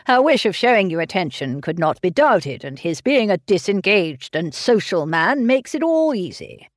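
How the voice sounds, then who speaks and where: A woman reading as a calm, sensible gentleman. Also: medium pitch, normal speed, no echo, studio quality, natural voice. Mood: measured. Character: sensible grown man, pleasant and measured.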